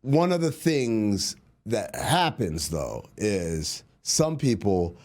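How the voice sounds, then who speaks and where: A man's voice: lowish voice, very animated, slow, darkish tone. A man co-hosting a basketball podcast, chatting casually and teasing his co-host while he explains team finances in plain terms. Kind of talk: casual sports chat.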